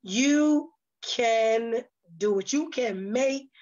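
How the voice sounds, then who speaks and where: High-voiced exhorting woman, dull audio. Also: extremely slow, a little mellow. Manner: exhorting, folksy, speaks in short emphatic phrases. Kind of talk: casual, preacherly interview talk.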